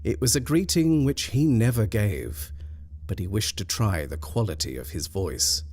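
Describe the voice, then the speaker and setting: A man reading a scripted ghost story in a measured, dramatic storyteller's manner. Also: fairly low pitch, lively intonation, darkish tone. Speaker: story reader.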